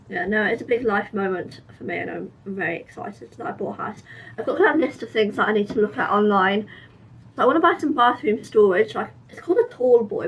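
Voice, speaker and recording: A young woman with a croaky, sore-throated voice, chatting tiredly through a casual diary vlog. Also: mid-range voice, recorded from a distance, slight background noise, rough recording. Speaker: vlogger.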